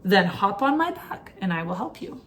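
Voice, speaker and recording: A woman's voice: mid-range voice, sing-song, moderate pace, fairly bright tone, far from the mic. Woman reading as a helpful giant stork. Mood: gentle, helpful.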